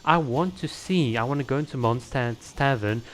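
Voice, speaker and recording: A man's voice: mid-range voice, normal speed, slight background noise, rough recording. A man talking casually to viewers as a video creator, explaining his opinions and laying out ideas with examples.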